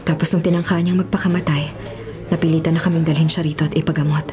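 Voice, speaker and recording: A woman's voice: low-pitched, flat delivery, fast, natural voice. An actress playing a mischievous, affectionate young woman, a mayor's daughter, in a Filipino radio drama, teasing and forthright with a Filipino accent. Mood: somber. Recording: music behind the voice.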